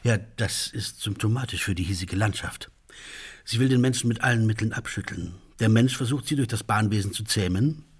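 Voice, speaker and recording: An actor playing an earnest railway engineer and mediator in a radio play, with a husky voice and courteous, long-winded official phrasing. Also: male voice, on the low side, a little fast.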